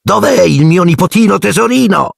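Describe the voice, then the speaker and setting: A man doing a shrill bossy aunt. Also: lively intonation, normal speed, creaky, slightly rough, dry recording, studio quality, heavily acted. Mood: doting. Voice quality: shrill barking falsetto. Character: bossy loud middle-aged aunt, domineering.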